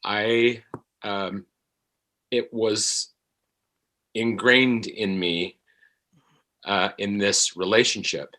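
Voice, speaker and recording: A middle-aged man, an actor being interviewed, speaks in a halting, thoughtful way and often repeats his phrases. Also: on the low side, steady tone, bright, forceful tone. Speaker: actor guest. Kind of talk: reflective interview conversation.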